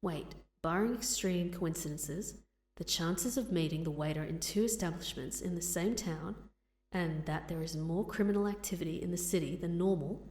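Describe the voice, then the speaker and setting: A woman playing a sharp, wary young woman in an audio drama, deliberate and expressive. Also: fairly low pitch, echoey room, natural voice. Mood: suspicious. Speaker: voice actor. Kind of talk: anime-style audio drama acting.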